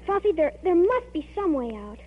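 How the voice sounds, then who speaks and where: Melodramatic teen girl, high voice, old radio comedy, dull noisy recording. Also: very animated, normal speed. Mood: distressed. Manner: breathless, melodramatic, earnest. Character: earnest, dramatic teenage girl, movie-struck and anxious.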